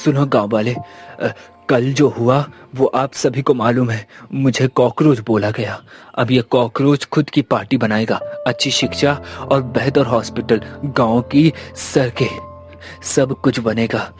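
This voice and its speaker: A man voicing a wronged, defiant young villager in an animated moral story, speaking in an earnest, declamatory way. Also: medium pitch, a little slow, natural voice. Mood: rallying. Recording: background music under the voice.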